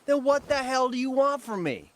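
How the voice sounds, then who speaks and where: A man's voice: very high-pitched, animated, moderate pace. A man voicing a brash, greedy crook in a video game, with a blustering, dismissive manner. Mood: exasperated. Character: brash, greedy male crook running an art forgery racket. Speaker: game villain.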